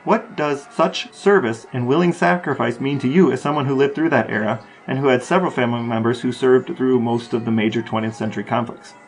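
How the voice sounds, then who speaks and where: A man's voice: mid-range voice, fairly quick, few pauses, faint music behind, low-quality recording. A man interviewing a guest on a podcast, asking prepared questions.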